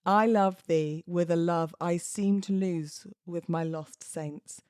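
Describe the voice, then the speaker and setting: A British woman hosting a podcast, moving between informal talk and expressive readings of verse. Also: lowish voice, slow, clear, resonant tone, dry recording, clean studio sound. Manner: chatty, informal, giggly. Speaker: podcast host. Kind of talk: casual podcast chat with dramatic poetry readings.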